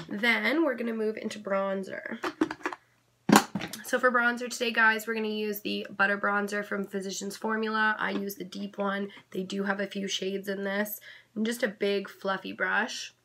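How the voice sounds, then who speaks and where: A young woman giving a casual makeup tutorial in a chatty, gentle instructional way, her voice a little off because she is coming down with something. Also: mid-range voice, a little hiss or hum. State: slightly sick, voice a bit off. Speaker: makeup vlogger.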